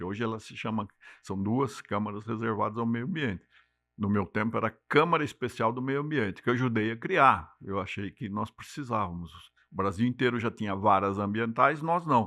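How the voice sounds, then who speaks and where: An older man with a São Paulo accent, speaking as an interview guest in a measured, explanatory way and often ending points with a tag question. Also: mid-range voice, a bit bright, dry recording. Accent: São Paulo Portuguese. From Brazil. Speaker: interview guest, city climate secretary. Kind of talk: unhurried interview talk.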